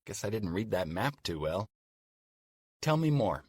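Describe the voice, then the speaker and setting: A man's voice: on the low side, very animated, unhurried, darkish tone, breathy edge, no echo, studio quality, heavily acted. A man narrating an audiobook as a courteous, chatty older single man writing courtship emails.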